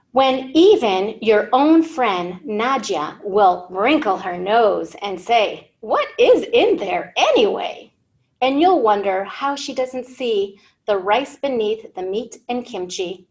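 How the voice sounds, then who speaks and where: A woman in her thirties reading a picture book to young learners as a storytime host, expressively, with simple questions and explanations. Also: mid-range voice. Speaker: children's librarian hosting storytime. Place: indoor library room.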